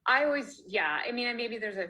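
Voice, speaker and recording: A woman's voice: a little high, expressive, a little fast, bright, forceful tone. A woman author from Canada chatting casually in an interview over a video call, laughing often and restarting her sentences. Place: home, online video call.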